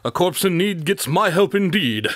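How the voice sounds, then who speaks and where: A man plays a clever detective in a radio drama, smug and dryly formal. His fairly high voice moves widely in pitch, and the recording is dry and close. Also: expressive, normal speed, fairly bright tone, dry recording.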